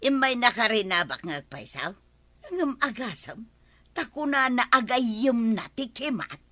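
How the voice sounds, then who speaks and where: A woman's voice: on the high side, expressive, unhurried, fairly bright tone, no room sound. An actress with an Ilocano accent playing a concerned, fussing village woman in a radio comedy drama, speaking emphatically. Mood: chiding.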